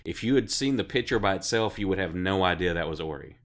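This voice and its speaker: A man who is sick, running a tabletop game on a live stream with tired, low energy and a voice deepened by illness. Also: lively intonation, fast. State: sick, subdued. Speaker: game master.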